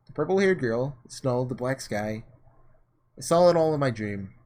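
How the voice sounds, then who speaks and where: A man's voice: medium pitch, animated, moderate pace, a little mellow. A man doing let's-play commentary, reading a visual novel aloud dramatically and tossing in offhand, sarcastic asides. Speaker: gaming host reading aloud and reacting.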